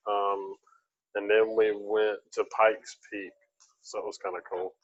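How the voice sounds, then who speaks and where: A man hosts a casual podcast, his voice on the low side. He wanders through stories with plenty of hesitant restarts. The recording sounds dull and lo-fi. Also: flat delivery, a little slow. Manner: loose, rambling storyteller with hesitant restarts. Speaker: podcast host. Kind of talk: casual chat, rambling anecdotes.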